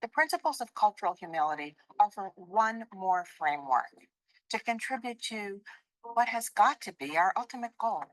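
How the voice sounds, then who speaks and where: Woman, video clip speaker, earnest, measured, mid pitch, dry sound. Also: animated, no echo, low-quality recording. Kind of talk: formal spoken reflection.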